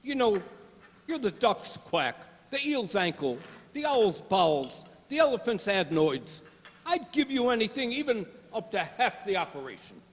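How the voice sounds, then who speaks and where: A man acts out a lovesick, blustery gangster kingpin for a radio play. His voice is very high and swoops about in old-time mobster slang. It rings in a big, noisy hall. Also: very animated, normal speed. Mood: smitten, breezy. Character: slangy, lovesick 1920s gangster boss playing a king. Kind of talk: amateur radio-play acting. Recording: reverberant hall with clear background noise.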